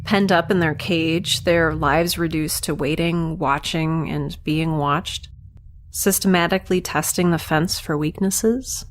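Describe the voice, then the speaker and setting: An actress playing a wry, defiant young scientist held captive in space, speaking conversationally and sardonically in an audio drama. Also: female voice, deep voice, steady tone, measured pace. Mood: wry, musing. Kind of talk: first-person audio drama monologue and dialogue. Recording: faint background noise and ambience.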